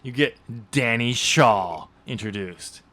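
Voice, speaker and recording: A man's voice: medium pitch, slow pace, sharp tone. Casual, joking man hosting a podcast. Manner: joking, teasing banter. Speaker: podcast host and novelist.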